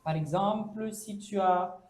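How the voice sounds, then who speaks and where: High, mellow-voiced man in an echoey room. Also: unhurried. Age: 30s. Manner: hesitant, many fillers, acts out quoted speech. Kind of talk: casual debate on a campus show.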